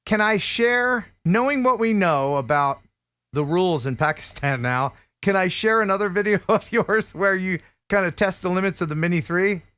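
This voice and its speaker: A man's voice: sits high, lively intonation, moderate pace, a bit bright, smooth, dry recording. A man in his fifties hosting a video call interview, enthusiastic, exclaiming and laughing often, speaking into a headset from a home studio. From United States. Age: 50s. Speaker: host. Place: home studio room indoors.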